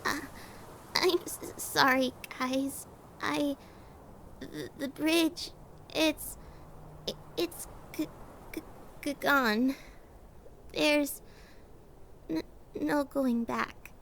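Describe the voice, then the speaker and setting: A woman's voice: high voice, steady tone, very slow, vocal fry, pauses often, a bit bright, a little hiss or hum, exaggerated voice. A woman voicing an anxious, timid monster scientist in an audio drama, apologetic and stammering heavily. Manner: nervous, heavy stammer.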